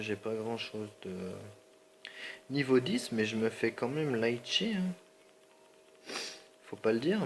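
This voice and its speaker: A man with a low, gravelly voice gives casual gameplay commentary while nursing a slightly sore throat and a stuffy nose. He rambles in slangy asides and keeps apologizing to his viewers, over a faint ambient hum. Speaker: gaming channel host.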